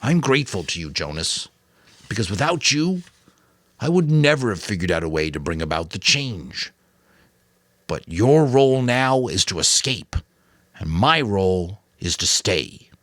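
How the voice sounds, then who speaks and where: A man voicing a gentle, resolute old male mentor, speaking deliberately in an audiobook story. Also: fairly low pitch, sing-song, no echo, clean studio sound, heavily acted.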